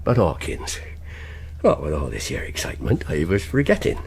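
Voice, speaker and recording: An actor in a radio drama playing a sly, jovial one-legged pirate cook with a salty, theatrical sailor's dialect. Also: male voice, low voice, wildly swooping pitch, moderate pace, vocal fry, full character voice. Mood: blustering, hearty. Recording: noisy radio drama with ambience behind.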